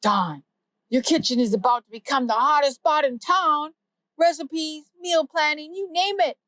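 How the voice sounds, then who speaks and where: A quick, jokey AI sidekick with a bright, high voice, firing off punchlines in a playful rush, as a woman puts it on. The sound is dry and close. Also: dry recording. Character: fast, snappy wisecracking comic AI sidekick.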